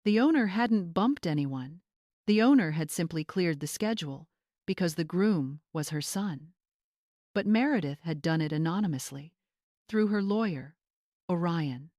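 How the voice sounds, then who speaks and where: A woman narrates a scripted dramatic story as an audiobook reader. Her register sits a little low, and the recording is dry with very little room sound. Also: animated, measured pace, darkish tone, no echo.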